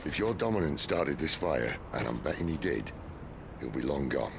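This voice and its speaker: A man playing a gruff, wry older outlaw leader in a video game, with gravelly, unhurried speech. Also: very deep voice, very animated, heavy background noise, heavily acted. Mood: grim, dry. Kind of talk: video game cutscene acting.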